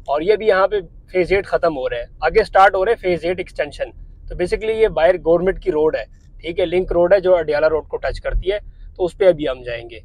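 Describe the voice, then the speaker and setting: Man, 30s, from Pakistan, slightly high voice, friendly salesman chat, driving vlog, lapel mic in a car. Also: no room sound, rough recording. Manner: chatty salesman tone, addresses viewers directly. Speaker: property dealer vlogger. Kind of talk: driving tour commentary. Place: inside a moving car.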